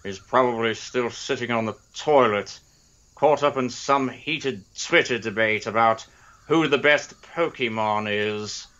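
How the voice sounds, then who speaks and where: Grumbling male senior, played by a man, unhurried, mocking, folksy, slightly dull recording. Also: medium pitch, fairly bright tone, close to an everyday voice. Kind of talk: audio comedy drama acting.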